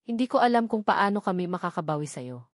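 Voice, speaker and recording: A man's voice: sits high, very animated, quick pace, no echo, studio quality. Romantic audio drama husband, played by a female reader: earnest, tender, full of feeling, devoted. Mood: grateful, calmer.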